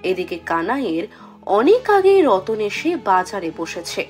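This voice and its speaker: Mid-pitched woman narrating a fairy tale. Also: sing-song, measured pace, soft background music.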